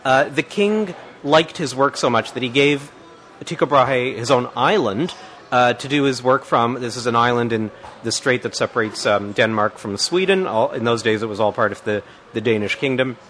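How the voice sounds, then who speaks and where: A man's voice: medium pitch, moderate pace, fairly bright tone. A man answering as a guest expert, explaining in a thinking-aloud way with many restarts and fillers.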